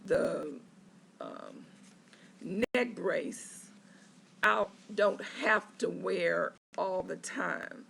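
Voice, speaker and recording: A hoarse patient speaks from a hospital bed, the voice raspy and heavy with gravelly fry after neck surgery. The talk comes very slowly, halting and full of filler pauses, as a casual video diary update. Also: mid-range voice, bright, forceful tone, a little hiss or hum.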